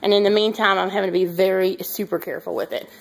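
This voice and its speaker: A woman's voice: medium pitch, fairly even intonation, fast, vocal fry, background noise. Chatty woman vlogging at home. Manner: chatty, rambling, addressing viewers informally. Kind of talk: casual vlog chat to viewers. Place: home kitchen, indoors. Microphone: handheld camera or phone at arm's length.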